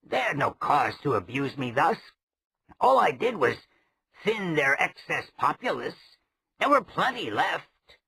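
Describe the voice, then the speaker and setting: A man voicing a pop-eyed, cowardly male rogue who croaks and yelps in frantic, shrill bursts. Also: big pitch swings, a little slow, sharp tone, no room sound, full character voice. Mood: aggrieved.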